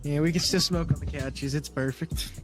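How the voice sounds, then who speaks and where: A man's voice: on the high side, steady tone, moderate pace, music in the background, natural voice. A man playing an agreeable, casual cannabis shop worker in an improvised crime game roleplay. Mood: friendly.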